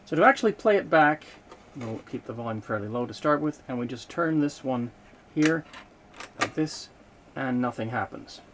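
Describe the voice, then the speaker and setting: A man giving an unscripted hands-on demonstration of vintage equipment, explaining in a measured way with hesitant pauses mid-sentence. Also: medium pitch, expressive, fairly bright tone. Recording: noticeable background noise, room ambience.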